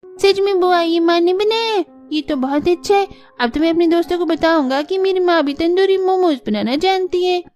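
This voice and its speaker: A woman's voice: very high-pitched, moderate pace, darkish tone. A woman voicing a proud, sulky little schoolboy from a poor family in a cartoon. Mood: delighted. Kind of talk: cartoon dialogue acting. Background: background music.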